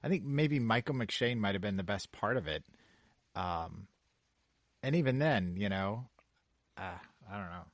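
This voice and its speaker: A man's voice: on the low side, animated, a little slow, pauses often, no echo. A man in casual podcast chat about a movie, blunt and exasperated, swearing freely. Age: adult. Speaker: podcast co-host.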